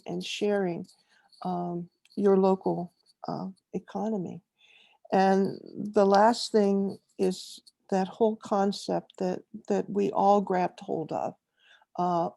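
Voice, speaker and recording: Slow gravelly woman panelist. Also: medium pitch, warm tone, no room sound. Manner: unhurried, many fillers and pauses. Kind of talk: online panel talk.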